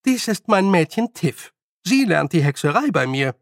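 Audiobook role: bossy, good-humoured old witch woman played by a man, high voice, animated, stern and teasing. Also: a little slow, no room sound, clean studio sound, full character voice. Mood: brisk, loud. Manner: loud, stern, teasing, colloquial scolding. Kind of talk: audiobook character voice.

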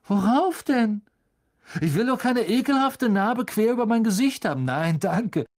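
A reader voicing an arrogant, spiteful schoolboy bully in a storybook, loud, cutting and taunting. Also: mid-range voice, lively intonation, measured pace, voice pushed up, close to an everyday voice.

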